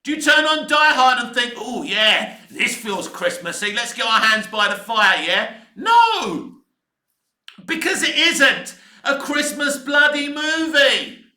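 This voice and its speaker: High-pitched, animated British man ranting. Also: slow pace. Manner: emphatic, exasperated, swearing mildly, repetitive. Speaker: film vlogger. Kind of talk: opinionated rant to camera, casual. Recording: echoey, reverberant room.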